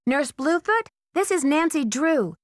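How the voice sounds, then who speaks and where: A woman's voice: high-pitched, animated, measured pace, no room sound, clean studio sound. An actress playing a curious, polite young woman detective in a video game.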